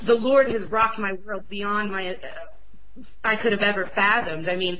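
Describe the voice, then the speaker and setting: A young woman with a loud voice, sharing an earnest, excitable personal religious testimony in an interview. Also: medium pitch, unhurried, crowd noise, poor audio.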